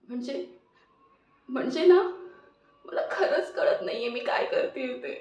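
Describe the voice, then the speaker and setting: A woman acting an emotional audition monologue as a remorseful young woman, tearful and halting as she pleads for forgiveness. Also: high-pitched, lively intonation, darkish tone. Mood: guilty, distraught. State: crying. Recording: roomy, muffled, distant mic.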